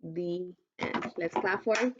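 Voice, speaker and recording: A woman teacher reading aloud to children in an instructive way, pausing to pose questions, on a dull recording. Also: lowish voice, background noise. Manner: instructive, poses questions to listeners.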